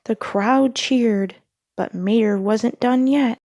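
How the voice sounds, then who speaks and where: A woman's voice: on the high side, takes it slowly, warm tone, no echo, clean studio sound. A woman reading a children's storybook aloud as a scripted narration.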